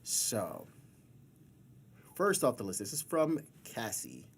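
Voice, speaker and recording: A man's voice: medium pitch, huge pitch swings, slow, vocal fry, a little hiss or hum. A man hosting a casual interview, talking in a rambling, encouraging way. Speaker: interview host.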